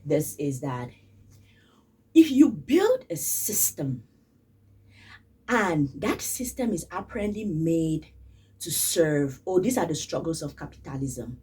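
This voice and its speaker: A woman's voice: low voice, big pitch swings, takes it slowly, pauses often, a little mellow, breathy edge, far from the mic. A woman from Cameroon, giving casual spoken commentary in an emphatic way and repeating phrases for stress. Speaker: vlogger.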